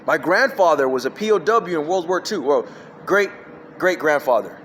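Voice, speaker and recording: A man's voice: a little high, animated, normal speed, a bit bright, slight background noise. A man ranting alone into his phone, jumping from one topic to the next with emphatic, scattered delivery. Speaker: vlogger. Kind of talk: rambling solo rant.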